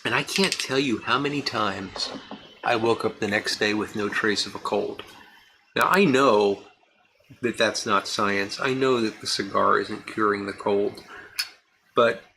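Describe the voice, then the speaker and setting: A man's voice: lowish voice, measured pace, creaky, pushed and bright, a little hiss or hum, rough recording. A man hosting a livestream, chatting casually and answering viewers by name. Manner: chatty, answers chat comments by name, laughs easily. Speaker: livestream host reading viewer chat. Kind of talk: casual live chat.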